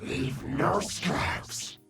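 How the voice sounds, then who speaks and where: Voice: mid-range voice, extremely slow, a bit bright, faint music behind, heavily acted. Kog'Maw, a gurgling void monster. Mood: hungry menacing. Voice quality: gurgling, gravelly. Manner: clipped broken phrases, menacing. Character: ravenous monstrous void creature, broken speech. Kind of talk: video game voice lines.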